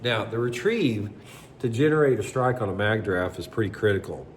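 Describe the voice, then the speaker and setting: A man hosting a bass fishing channel, explaining lure technique in a relaxed, conversational way with lots of fillers, sounding stuffy from allergies. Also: normal speed, slight background noise. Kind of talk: casual tackle demonstration.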